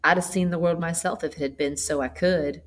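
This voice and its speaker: A woman's voice: low-pitched, fairly even intonation, brisk. A woman voicing a chatty, hospitable old country grandmother in a storybook, with a folksy, gossipy manner. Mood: wistful. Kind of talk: storybook character voice.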